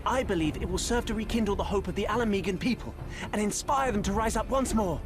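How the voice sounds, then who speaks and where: Man playing an earnest, high-voiced young nobleman. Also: fast, close to an everyday voice. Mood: earnest conviction. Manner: formal, persuasive, impassioned. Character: earnest, eager young nobleman, the general's son. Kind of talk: video game drama acting. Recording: loud music behind the voice.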